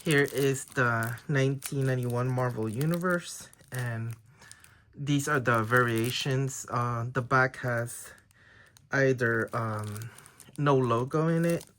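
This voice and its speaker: A man whose first language is Tagalog leafs through his trading cards in a relaxed, unhurried way. He sounds tentative, often unsure of what he has. Faint crinkling of card packs sits behind his voice. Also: mid-range voice, a little hiss or hum. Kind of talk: casual show-and-tell of a card haul.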